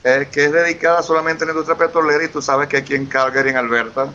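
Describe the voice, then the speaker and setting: A man's voice: fairly high pitch, flat delivery, fast talker, sharp tone. A man from Venezuela with a Venezuelan Spanish accent, chatting as an interview guest, effusive and eager, often repeating himself. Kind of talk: casual interview conversation. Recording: lo-fi with faint hiss.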